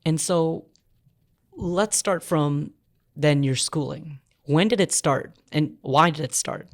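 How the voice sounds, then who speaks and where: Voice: medium pitch, slow pace, no room sound, studio quality. A podcast host reading an earnest introduction and asking interview questions. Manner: earnest, reads from notes, restarts.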